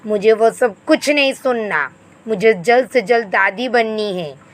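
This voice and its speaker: A woman acts out an old-fashioned Indian mother-in-law for an animated moral tale. Her voice sits fairly high and moves at a slow, drawn-out pace. She nags and scolds theatrically, demanding to be obeyed. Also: natural voice. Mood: scolding, demanding. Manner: scolding, dramatic exclamations. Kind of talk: scripted animated moral story dialogue.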